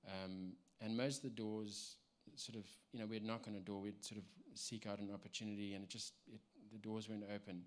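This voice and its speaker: A man in his thirties with a South African accent, giving a personal church testimony in a halting way with many ums and restarts. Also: lowish voice, level tone. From South Africa, Cape Town.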